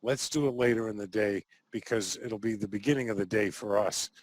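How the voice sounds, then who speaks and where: A man hosting a casual interview, chatty and quick to laugh, often ending thoughts with a questioning "right?". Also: lowish voice, dry recording. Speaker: interview host.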